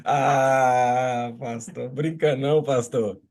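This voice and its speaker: A man's voice: medium pitch, fairly even intonation, extremely slow, darkish tone. A man from Espírito Santo, a church member, politely greeting the meeting before his testimony. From Espírito Santo, Brazil.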